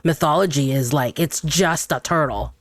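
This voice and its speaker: A woman's voice: sits low, moderate pace, no room sound, studio quality. A woman from Brunei chatting casually as a podcast guest. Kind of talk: casual discussion.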